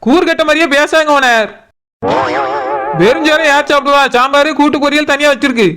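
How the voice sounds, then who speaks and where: Woman as a fast, high-voiced complaining cartoon cook. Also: steady tone, crowd noise, close to an everyday voice. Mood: explaining, defensive. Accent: Kanyakumari Tamil. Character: overworked, complaining young female cook in a comedy cartoon. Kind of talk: comic cartoon dialogue.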